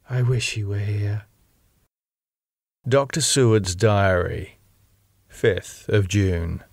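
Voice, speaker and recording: A man narrating a classic novel as an audiobook in a measured, expressive, dramatic way. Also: fairly low pitch, no echo, studio quality.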